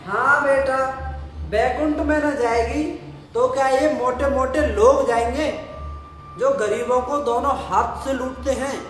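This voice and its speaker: A man's voice: extremely high pitch, steady tone, unhurried, a bit bright, faint music behind. An actor playing a drunken, self-justifying village father in his sixties in an audio play, emphatic and theatrical. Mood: consoling, righteous. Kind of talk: stage-style Hindi audio play acting. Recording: echoey room, faint background noise.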